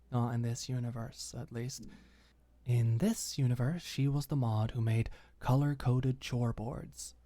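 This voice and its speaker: A woman reading scripted ASMR audiobook fiction softly and intimately, with deliberate pauses. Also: extremely low pitch, no room sound. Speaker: audiobook narrator.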